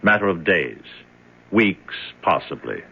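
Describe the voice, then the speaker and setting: An actor playing a cold, grim captor who speaks in a measured, stern, ominous way in a 1970s radio drama. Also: male voice, low voice, very animated, creaky, slightly rough, full character voice. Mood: grim resignation. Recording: narrow, tinny vintage radio recording.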